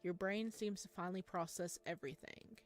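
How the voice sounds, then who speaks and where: A woman's voice: lowish voice, fairly quick. A woman doing a casual let's-play, reading game narration aloud dramatically and commenting as she goes. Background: music.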